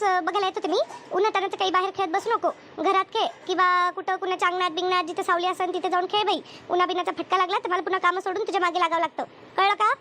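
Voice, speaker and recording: Cartoon village housewife, played by a woman: very high, bright voice, rural Maharashtrian accent, bossy, chatty, caring. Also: a little hiss or hum. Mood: scolding. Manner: chatty, scolding, rambling rural homemaker talk. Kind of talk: comedy cartoon dubbing.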